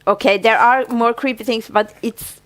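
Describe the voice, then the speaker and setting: A woman's voice: fairly high pitch, steady tone, moderate pace, fairly bright tone. Giggly woman chatting as podcast co-host. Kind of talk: casual chat on a TV fan podcast.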